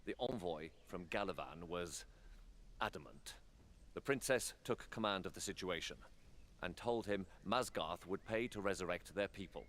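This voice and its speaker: A stately council lord from a fantasy game. His voice is deep, and he speaks formally and guardedly, like a statesman carrying heavy worries. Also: lively intonation, normal speed, a bit bright. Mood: guarded, weary. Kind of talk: fantasy video game cutscene acting.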